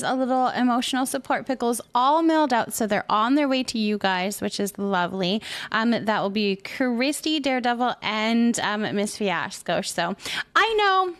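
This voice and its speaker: A woman hosting a casual livestream, talking to viewers in a chatty, informal way with some drawn-out words. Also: on the high side, expressive, fairly bright tone, no room sound. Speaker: livestream host. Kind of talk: casual livestream chat, greeting viewers and reading comments aloud.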